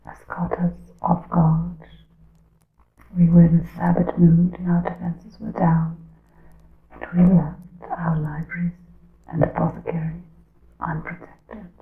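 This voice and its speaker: A woman voicing a hunted witch in a breathy ASMR whisper, solemn and deliberate, with archaic formal phrasing as she secretly warns her coven. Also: sits low, wildly swooping pitch, echoey room, phone-quality sound, full character voice. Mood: urgent, secretive. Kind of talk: ASMR whispered roleplay monologue.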